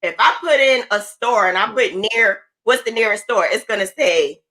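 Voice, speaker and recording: A woman's voice: a little high, low-quality recording. A woman from New Jersey chatting casually on a livestream, asking questions and laughing easily. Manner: lively, curious, quick to laugh. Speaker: livestream host.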